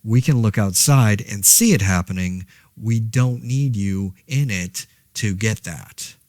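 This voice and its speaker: A man's voice: lowish voice, slow pace, darkish tone, no echo, studio quality. A man playing a rambling, wry, laid-off New Yorker who hesitantly podcasts through a zombie outbreak in an audio drama. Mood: exasperated, rambling. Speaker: podcast host. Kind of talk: casual podcast monologue in a fiction audio drama.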